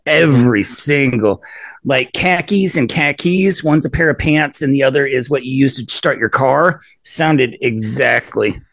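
A man co-hosting a podcast who speaks at a middling pitch. He tells stories in a halting way, breaking off mid-phrase and starting over again and again. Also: gravelly, a bit bright, dry recording. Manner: halting, with many restarts. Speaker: podcast co-host. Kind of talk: casual podcast chat.

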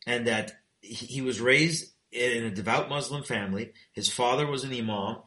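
A male talk show host with a bright, forceful voice, interviewing his guest. He recaps the story for listeners, stopping and restarting his sentences often. The room sounds echoey. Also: mid-range voice, moderate pace.

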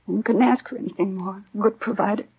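An actress playing a shaken, devoted American housewife just widowed, speaking politely in short sentences in a 1950s radio drama. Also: female voice, mid-range voice, fairly quick. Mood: fragile, composed. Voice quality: husky. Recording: dull 1950s radio recording.

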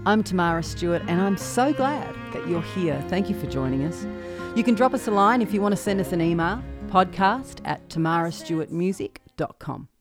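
A woman's voice: lowish voice, lively intonation, normal speed, music in the background. A woman in her thirties with an Australian accent, hosting her own podcast and talking candidly and reflectively about her life. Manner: candid, reflective, with soft laughs. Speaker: podcast host and singer-songwriter. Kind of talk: personal monologue.

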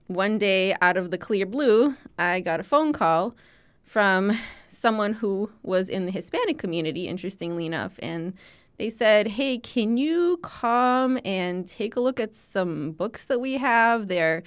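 Woman, middle-aged, archivist, chatty, lots of fillers, clean smooth tone. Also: medium pitch, expressive, measured pace. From Chicago, USA. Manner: chatty, storytelling with fillers and restarts. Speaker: archivist, project founder. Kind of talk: casual interview answer.

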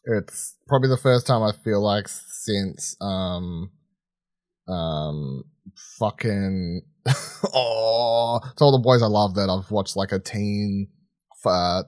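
A man's voice: on the low side, no echo. Rambling man chatting casually, dry close sound. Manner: rambling, sweary, conversational. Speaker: podcast co-host reviewing films and series. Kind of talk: casual unscripted film chat.

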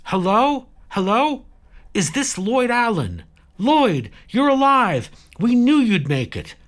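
A man puts on an eager, forgetful woman at a radio in an audiobook story. The voice is lifted high and swings excitably through simple phrases. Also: a little slow. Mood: excited.

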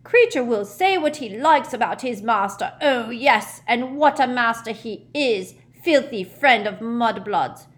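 A woman voicing a sullen old male house elf, croaking and grudgingly servile, speaking in the third person. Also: on the high side, lively intonation, unhurried, few pauses, voice pushed up. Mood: spiteful. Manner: muttering insults, third person.

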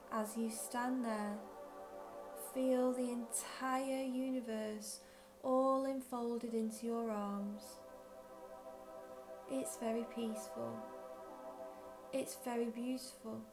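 A woman narrates a guided visualisation in a slightly high-pitched voice. She speaks very slowly and gently, leaving long pauses between phrases. Faint music plays behind her. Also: fairly even intonation. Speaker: guided meditation leader.

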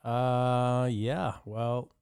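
A middle-aged man from the Dominican Republic, a podcast guest recounting his childhood in a halting way, often restarting his sentences. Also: medium pitch, flat delivery, vocal fry, darkish tone, clear, resonant tone, dry recording, clean studio sound. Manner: halting, with frequent restarts and fillers. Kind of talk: personal storytelling.

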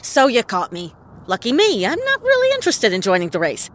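An actress playing a cool, wry female detective, deadpan and wisecracking in a noir audio play. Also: a little high, a little fast. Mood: smooth bluffing. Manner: deadpan, wisecracking, self-assured.

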